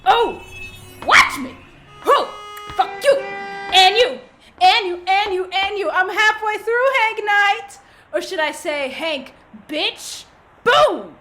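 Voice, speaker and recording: A woman's voice: very high-pitched, lively intonation, slow pace, bright, forceful tone, faint music behind, exaggerated voice. A woman voicing a cocky, foul-mouthed male villain in a comedic audio play, taunting and boasting in a hammy way. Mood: gloating.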